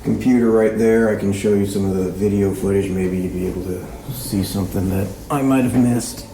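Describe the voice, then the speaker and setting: An actor playing a methodical small-town police chief conducting a recorded interview in a halting, procedural way, in a naturalistic fiction podcast. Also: male voice, on the low side, steady tone, mellow, slight background noise, natural voice. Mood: matter-of-fact. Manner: procedural, halting, full of hesitations. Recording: roomy interview-room echo, music behind.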